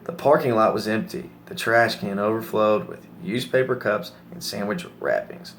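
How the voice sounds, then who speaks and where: A man's voice: lowish voice, moderate pace, a bit bright, slight background noise. A man reading a children's story aloud as a narrator. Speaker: story reader.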